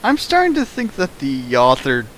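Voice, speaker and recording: A man's voice: mid-range voice, huge pitch swings, moderate pace, a bit bright, low-quality recording. A young man reading a bad fanfic aloud and riffing on it with sarcastic, exasperated rants. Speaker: fanfic riffer. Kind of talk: comedic dramatic reading and riffing.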